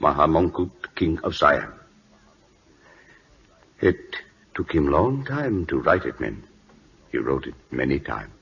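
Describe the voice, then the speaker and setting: Very deep, solemn court official. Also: male voice, sing-song, creaky, breathy edge, full character voice. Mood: grave, formal. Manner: deferential, halting broken English. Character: solemn, loyal Siamese court official, deferential and grave. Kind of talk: old-time radio drama acting. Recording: muffled vintage radio broadcast.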